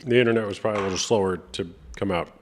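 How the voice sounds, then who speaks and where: Blunt deep-voiced man near forty. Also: very fast, slight background noise. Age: 30s. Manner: blunt, chatty, cites sources. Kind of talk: casual debate chat.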